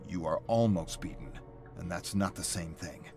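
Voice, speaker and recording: Low-pitched male story narrator over faint music. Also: normal speed, vocal fry, mellow. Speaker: narrator of game lore.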